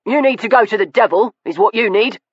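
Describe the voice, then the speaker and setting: A woman voicing a furious, blustering older man who shouts and mocks in a heated rush, on a dull, dry recording. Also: mid-range voice, animated, no echo, pitched above the performer's own voice, lightly acted. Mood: blustering rage. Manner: shouting, mocking, fast and heated.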